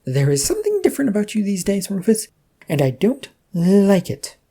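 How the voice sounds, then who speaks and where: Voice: mid-range voice, huge pitch swings, moderate pace, mellow, slightly rough, voice pushed up, exaggerated voice. A storyteller voicing a petulant, bossy, childish male office boss who complains indignantly. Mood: suspicious.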